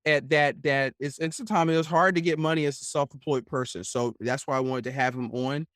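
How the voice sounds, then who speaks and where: A man's voice: medium pitch, steady tone, brisk, fairly bright tone, no echo, clean studio sound. A man hosting a podcast interview, chatting casually in a rambling, opinionated way and often tagging his points with "right?". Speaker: podcast host.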